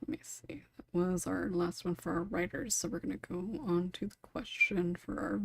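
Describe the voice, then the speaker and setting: Husky, giggly woman hosting, close and dry. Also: fairly low pitch, steady tone, moderate pace, no room sound. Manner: giggly, laughs often between remarks. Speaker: podcast host. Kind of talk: casual group podcast chat.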